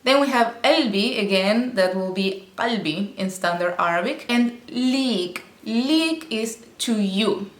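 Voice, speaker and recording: A woman's voice: on the high side, animated, slow, hardly any pauses, roomy sound, slight background noise. A woman teaching Arabic by explaining song lyrics line by line, patient and explanatory, often checking in with "okay?".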